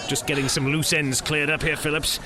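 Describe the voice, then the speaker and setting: A man's voice: a little high, level tone, brisk, music in the background, lightly acted. An actor playing an exacting, dry-witted man in charge of security, with formal, sardonic phrasing, in an audio drama.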